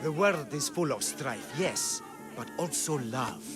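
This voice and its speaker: A man's voice: mid-range voice, animated, slow. An actor playing a theatrical, grandiose man who claims to be a god. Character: flamboyant self-proclaimed man-god showman. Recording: game cutscene with music.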